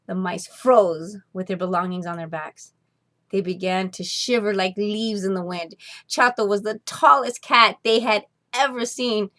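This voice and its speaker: A woman narrating a children's storybook expressively, with deliberate, drawn-out emphasis on key words. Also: medium pitch, a bit bright. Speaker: storybook reader.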